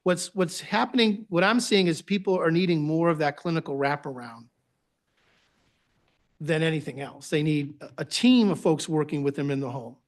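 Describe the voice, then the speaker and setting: A man's voice: a little high, moderate pace. A man with a Boston accent, giving a conversational presentation to a board at a public meeting, with phrase ends that trail off. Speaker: agency director presenting to a board.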